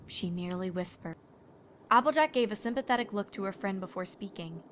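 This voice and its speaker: A woman's voice: mid-range voice, expressive, brisk, fairly bright tone, a little hiss or hum. A woman reading scripted story narration. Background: music.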